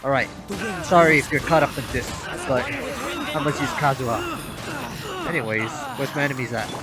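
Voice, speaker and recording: A man's voice: a little high, wildly swooping pitch, moderate pace. A man doing casual playthrough commentary as a gaming streamer, playfully talking to himself and the game. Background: game music.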